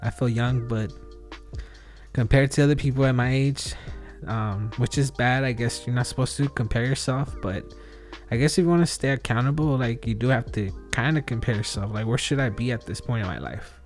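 A man in his thirties hosting a solo podcast, thinking out loud in a rambling, self-questioning way with many fillers and restarts. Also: mid-range voice, normal speed, faint music behind. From outside the US. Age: 30s. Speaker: podcast host. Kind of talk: solo reflective podcast monologue.

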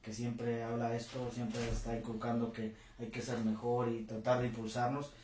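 Host in an echoey room. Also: male voice, mid-range voice, completely flat delivery. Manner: warm, halting with many fillers. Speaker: interview host, channel host. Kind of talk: casual interview. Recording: roomy echo.

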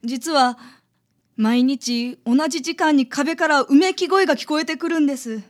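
A woman's voice: sits high, a little slow. A woman playing a frightened young woman asking a detective for help in a radio drama. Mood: uneasy.

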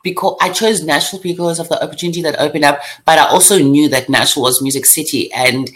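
A young woman from South Africa with a South African accent, a podcast guest chatting casually, lively, laughing easily and restarting sentences in quick bursts. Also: deep voice. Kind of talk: casual chat.

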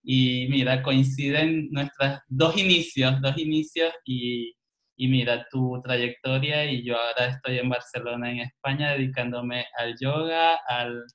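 A man's voice: medium pitch, fairly even intonation, measured pace, fairly bright tone. Man hosting an interview. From Venezuela. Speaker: interview host, journalist. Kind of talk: conversational interview.

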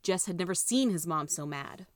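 Casting: female audiobook narrator with a fairly low voice, reading scripted fiction in a dry acoustic. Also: animated, moderate pace, no echo.

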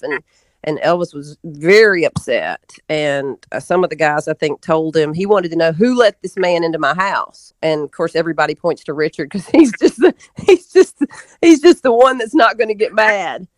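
A woman's voice: sits low, no room sound. A woman telling stories as an interview guest in a casual, rambling way, often doubling back mid-sentence. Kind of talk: casual interview storytelling, reminiscing.

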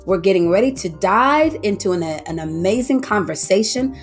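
A woman's voice: mid-range voice, expressive, moderate pace, faint music behind. A woman hosting a podcast interview, upbeat and exclamatory as she welcomes her guest. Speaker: podcast host.

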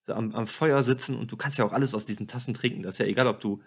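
A man's voice: mid-range voice, rapid-fire, vocal fry, husky. Jokey male podcast host, chatty. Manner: jokey, chatty, addresses listeners. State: voice tired from a day of talking. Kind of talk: casual podcast chat. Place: home apartment.

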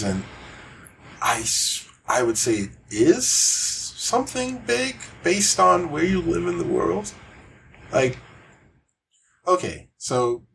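A man's voice: medium pitch, vocal fry, a bit bright, slight background noise, low-quality recording. A man co-hosting a podcast, talking informally and expressively about gaming news, often acting out reactions. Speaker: podcast co-host. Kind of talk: casual chat about video game news.

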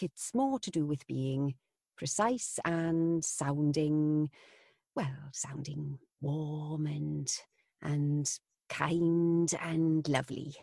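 A gravelly, husky voice with a soft, lilting Welsh accent, put on by a voice actor showing off. It lingers on each word, slow and precise. The tone stays dark and gentle. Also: mid-range voice, no echo, studio quality, full character voice. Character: the speaker themself in a gentle Welsh lilt.

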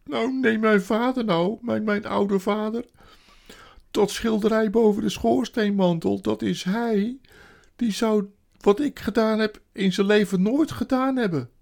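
A storybook reader voicing a sorrowful, guilt-ridden male faun who wails and confesses haltingly. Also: medium pitch, creaky, darkish tone, voice pushed up. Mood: tearful, self-reproaching. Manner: wailing, self-accusing, halting.

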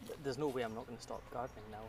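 A man's voice: medium pitch, steady tone, racing, a little mellow, far from the mic, a little hiss or hum. A Scottish man in his thirties chatting casually while gardening, laughing often and trailing off with fillers. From Scotland. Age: 30s. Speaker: podcast guest.

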